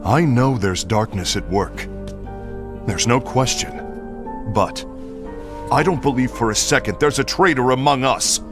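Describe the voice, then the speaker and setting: An actor playing a hot-headed, earnest comrade rallying allies in an animated game film, speaking with dramatic force. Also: male voice, mid-range voice, a little slow, pauses often, over music, natural voice. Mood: determined, grave.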